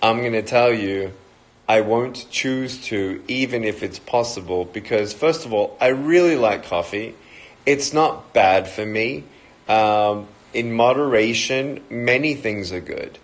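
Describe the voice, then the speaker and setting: A man's voice: medium pitch, unhurried. A man in his thirties with an Australian accent, chatting casually and joking as he greets viewers on a livestream talk. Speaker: motivational speaker.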